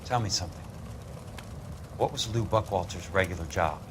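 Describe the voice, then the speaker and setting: Man acting low-voiced wisecracking noir investigator, noisy recording. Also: normal speed, natural voice. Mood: weary, gently wry. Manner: dry, sardonic, terse. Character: wry, tough, wisecracking male investigator recovering from injuries. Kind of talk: film noir video game acting.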